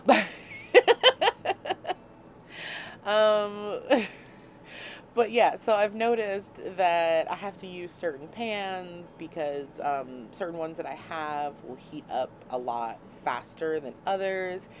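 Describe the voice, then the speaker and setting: A woman hosting a casual cooking vlog from a small RV, slightly hoarse and scratchy from a cold, chatty and laughing at her own clumsiness. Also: lowish voice, animated, slow pace. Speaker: vlog host. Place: small RV kitchen. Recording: noticeable background noise.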